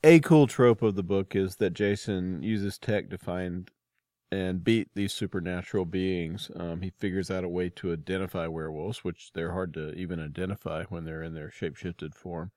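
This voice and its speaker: Male interview host, fairly low voice, dry close sound, casual and halting. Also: fairly even intonation, darkish tone, no echo. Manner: halting, searches for words.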